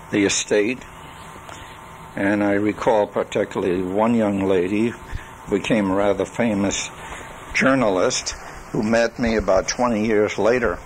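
An older man recording a spoken memoir on cassette, reminiscing in a deliberate, halting way with long pauses. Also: lowish voice, gravelly. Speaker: storyteller recalling his life and legal practice. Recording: noisy 1980s home cassette recording.

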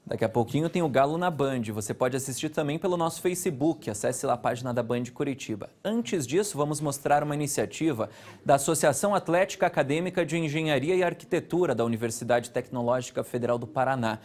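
A man, presenting the local news from a TV studio in a steady, conversational way. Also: mid-range voice, quick pace. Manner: steady, conversational anchor delivery. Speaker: news anchor.

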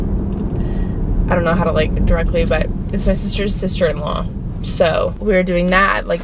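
A woman's voice: on the low side, very noisy. A young woman in her twenties vlogging casually to camera, rambling with lots of fillers, a little nasal from a mild cold, filmed in her car and bedroom. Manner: chatty, rambling, restarts and "like" fillers. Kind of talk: casual vlog chat to camera. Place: in a car, then a bright bedroom. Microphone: camera mounted on the dashboard.

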